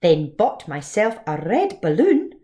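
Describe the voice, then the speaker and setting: A woman leads a read-along lesson for young children. Her delivery is slow and very animated in pitch. She explains things simply and expressively, as a teacher would. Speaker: storyteller and teacher reading to children.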